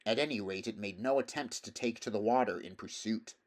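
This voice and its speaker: A man reading a story aloud as narrator, in a measured, expressive, dramatic style. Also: on the low side. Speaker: audiobook reader.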